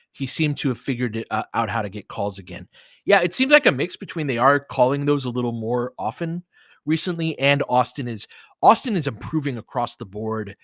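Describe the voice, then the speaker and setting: Male livestream sports commentator, average pitch, conversational and clipped, live game calls, very dry sound. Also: a bit bright, dry recording. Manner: conversational, clipped play-by-play phrases. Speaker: livestream play-by-play host. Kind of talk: casual live basketball commentary with fan chat.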